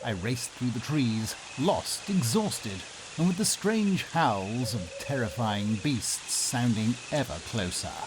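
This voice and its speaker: A man plays a pompous Victorian gentleman in old-style radio theatre. His mid-pitched delivery is melodramatic, well-mannered and very lively, with clear background ambience noise. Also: takes it slowly, few pauses. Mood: anxious, dramatic. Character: lost Victorian nobleman, melodramatic, polite and excitable. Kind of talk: melodramatic first-person radio theatre narration and acting. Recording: noisy ambience behind the voice.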